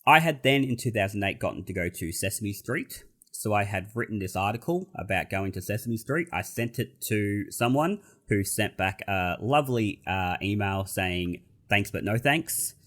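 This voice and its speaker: Australian man in his 30s, nasal, low-pitched, self-deprecating podcast guest on a call. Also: animated, moderate pace, fairly bright tone. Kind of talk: casual chat. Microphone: video call.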